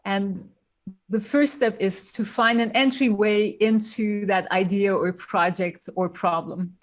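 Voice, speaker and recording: A woman's voice: mid-range voice, fairly even intonation, measured pace. Turkish-raised woman designer explaining her process. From Turkey. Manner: thoughtful, explains step by step with fillers. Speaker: guest, industrial designer. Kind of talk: podcast interview.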